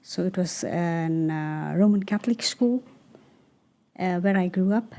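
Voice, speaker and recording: A middle-aged woman from Nepal, a cross-cultural coach answering interview questions thoughtfully, pausing often with "uh". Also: lowish voice, dark tone.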